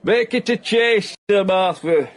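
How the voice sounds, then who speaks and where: An actor playing a boozy, seen-it-all old newspaper hack, sardonic and matey, in a radio comedy-drama. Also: male voice, high voice, unhurried. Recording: pub crowd murmur behind.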